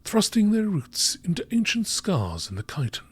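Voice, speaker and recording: Male narrator, fairly high voice, very animated pitch, measured nature-documentary read, some background noise. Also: slightly rough. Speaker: narrator reading game text aloud.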